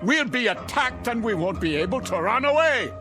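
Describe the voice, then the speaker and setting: A man's voice: very high-pitched, big pitch swings. An actor playing a frantic male ship passenger gripped by dread, agitated and repeating himself, in an audio drama. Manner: agitated, halting repetitions, rising to desperate cries. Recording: dramatic music under the voice.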